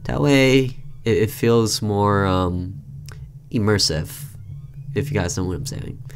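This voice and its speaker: A man's voice: fairly low pitch, takes it slowly, a little hiss or hum. A man hosting a gaming video, reading a visual novel aloud and commenting casually.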